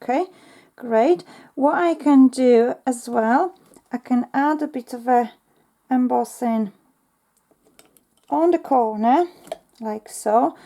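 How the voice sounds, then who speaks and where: Slow mellow-voiced lady doing a craft tutorial, lots of ums, voice a bit worn out. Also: high voice. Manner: chatty, talks to herself while working, frequent ums. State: slightly losing her voice. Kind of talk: casual step-by-step craft tutorial.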